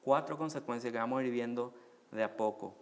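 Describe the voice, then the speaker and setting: A man from Paraguay with a Paraguayan Spanish accent, preaching a sermon as pastor, asking rhetorical questions and rising loud on key phrases. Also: medium pitch, fairly quick.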